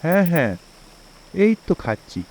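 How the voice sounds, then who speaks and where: A man's voice: on the high side, very animated, normal speed, background noise. A man voicing an easygoing, hungry village woodcutter in a cartoon, agreeable and given to exclaiming. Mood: pleased, hungry. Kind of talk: cartoon character acting.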